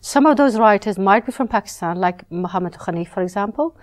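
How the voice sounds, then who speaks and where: A woman's voice: mid-range voice, animated. Woman novelist answering thoughtfully. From Britain. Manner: measured, reflective, with frequent restarts. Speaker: novelist, interviewee.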